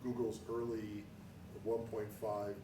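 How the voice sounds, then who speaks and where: A man in the audience asking a technical question without a microphone, speaking loudly and haltingly. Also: on the low side, level tone. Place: meetup room, in the audience. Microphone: no microphone, far from the mic. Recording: distant, roomy, with room noise.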